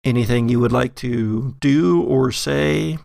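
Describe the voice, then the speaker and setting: Creaky, unhurried male game master. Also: medium pitch, darkish tone, no echo, studio quality. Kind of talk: narrating a tabletop horror game.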